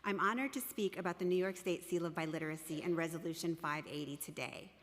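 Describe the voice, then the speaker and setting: A woman's voice: fairly low pitch, a bit bright. Russian-born woman giving formal testimony. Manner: measured, formal reading. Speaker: professor testifying at a hearing. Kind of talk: prepared testimony. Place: council chamber. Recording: long reverb of a large hall.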